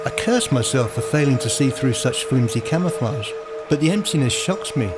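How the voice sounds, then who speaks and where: A man reading dramatic, literary first-person narration for a radio play in a deliberate storytelling manner. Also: medium pitch, lively intonation. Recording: music behind the voice. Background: music.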